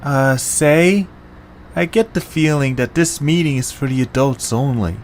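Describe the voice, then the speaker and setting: A man voicing an easygoing, sensible young creature boy with animated, casual delivery in an amateur cartoon audio drama. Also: medium pitch, measured pace, a little hiss or hum, lightly acted. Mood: thoughtful.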